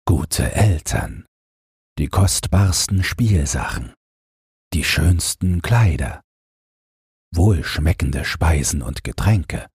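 A man narrating a fairy tale as an audiobook reader, in a gentle and expressive storytelling manner. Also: low voice, slightly rough, no room sound, studio quality.

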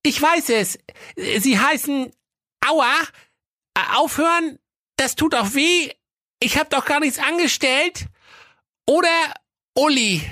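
Actress doing a whiny flustered young woman, stammery, very animated, comic fairy tale. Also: high voice, takes it slowly, pauses often, no echo, studio quality, full character voice. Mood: hesitant. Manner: stammering, exasperated.

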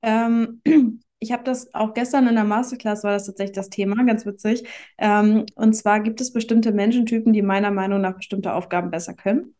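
A woman's voice: medium pitch, steady tone, brisk, warm tone. A woman giving casual explanations as an interview guest, informally working through examples and adding tag questions. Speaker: interview guest, marketing company managing director.

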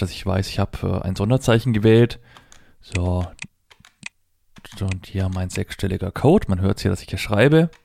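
A man's voice: on the low side, creaky, mellow, no echo. A man presenting a hands-on product demonstration, explaining informally step by step and thinking aloud as he goes. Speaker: presenter demonstrating assistive technology.

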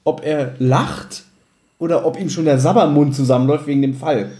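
A man co-hosts a podcast in casual conversation. His voice sits a little high, with a dark, mellow tone that keeps it relaxed as he discusses an audio drama. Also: moderate pace. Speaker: podcast co-host.

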